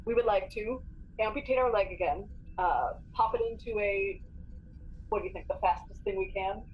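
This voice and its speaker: A woman's voice: medium pitch, moderate pace, soft background music. A woman talking casually as a player in a tabletop game, planning moves aloud. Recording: dull lo-fi mic, background noise.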